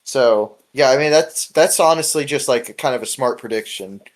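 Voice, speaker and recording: A man's voice: medium pitch, normal speed. A man hosting a casual podcast, talking in a loose, rambling way with chuckles. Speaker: podcast host.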